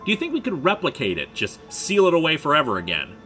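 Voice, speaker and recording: Survivor group leader played by a man: sharp, forceful, decisive delivery with quiet music behind. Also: a little high, wildly swooping pitch, quick pace, heavily acted. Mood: businesslike. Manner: businesslike, decisive. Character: brisk, practical male leader of trapped survivors.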